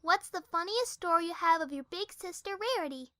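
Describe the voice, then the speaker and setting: Woman voicing a timid, high-pitched little filly. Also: a little fast, bright, forceful tone, no room sound, clean studio sound. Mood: playful. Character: timid, sweet young alicorn filly. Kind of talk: fan audiobook character acting.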